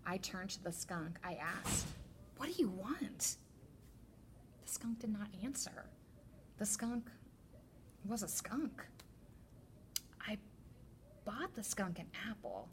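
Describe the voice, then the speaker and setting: A woman reading a children's picture book aloud as a teacher librarian, with deliberate, expressive storytelling. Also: medium pitch, slight background noise.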